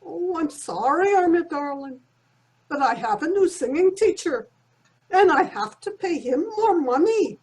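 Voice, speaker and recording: A woman's voice: high voice, sing-song, a little slow, darkish tone, poor audio. A woman playing a sentimental, easily affronted landlady in an old-time radio comedy, theatrical and folksy. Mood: cheery, doting. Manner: theatrical, folksy scolding and endearments.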